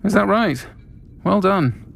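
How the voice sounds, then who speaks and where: An actor playing a warm, protective tavern keeper woman, assured and dry-witted, in a fantasy game. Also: high voice, lively intonation, slow, vocal fry, full character voice. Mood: friendly, concerned.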